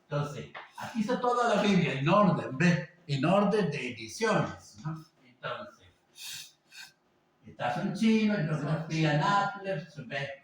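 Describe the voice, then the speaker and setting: A man teaching an informal class, talking haltingly with many fillers and tag questions. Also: fairly high pitch, big pitch swings. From Venezuela. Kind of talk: informal lecture. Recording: echoey room, voice from a distance, faint background noise.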